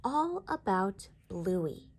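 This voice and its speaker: Animated woman narrating a children's storybook. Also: mid-range voice, extremely slow, slightly rough, faint music behind. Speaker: children's read-aloud narrator.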